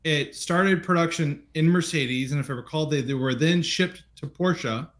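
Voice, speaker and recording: A man hosting a casual car conversation, relaxed and rambling, with hesitant restarts. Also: fairly high pitch, moderate pace. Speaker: host.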